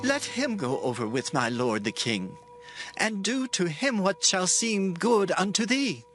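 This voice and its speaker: An actor playing a humble, weary man of eighty in a dramatized scripture, speaking slowly and pleadingly. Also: high voice, big pitch swings, fairly bright tone, heavily acted. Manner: slow, drawn-out, pleading archaic speech. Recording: music behind the voice.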